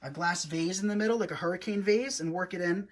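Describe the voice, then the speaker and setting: Chatty breathy man hosting a craft live. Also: high-pitched, fast talker. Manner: chatty, upbeat, addresses viewers often. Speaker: host, wreath designer.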